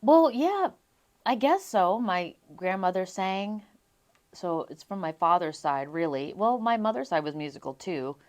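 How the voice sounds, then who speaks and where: A young adult woman from Las Vegas, a singer being interviewed, telling her stories in an animated way and laughing often. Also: mid-range voice, measured pace. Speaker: guest singer. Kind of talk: casual interview chat.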